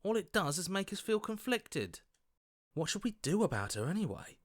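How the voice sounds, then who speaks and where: Earnest, questioning game hero. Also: male voice, high voice, big pitch swings, moderate pace, no room sound, clean studio sound. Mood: troubled, conflicted. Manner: conversational, questioning, exclamatory. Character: earnest, questioning young hero's inner voice, anxious and decent. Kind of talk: video game character voice acting.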